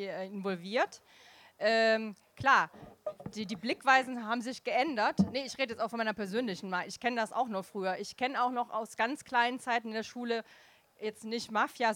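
Frank woman guest, high-ish voice. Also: a bit bright. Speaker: guest diplomat. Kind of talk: stage conversation.

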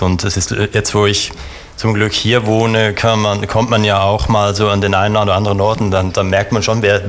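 A middle-aged man from Switzerland, a theater director answering interview questions thoughtfully with many pauses and restarts. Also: low voice.

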